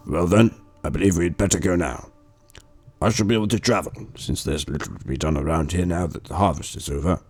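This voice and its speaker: A man plays a kindly old countryman with a very deep voice. He is patient and devout, explaining things gently and thoughtfully. The radio drama scene has music clearly playing behind him. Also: a little fast. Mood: gently helpful. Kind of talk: amateur radio drama acting. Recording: music under the voice.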